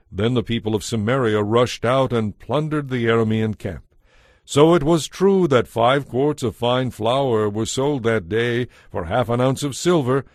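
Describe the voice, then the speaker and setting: A man's voice: medium pitch, dry recording, clean studio sound. A man reading scripted narration for an audio Bible.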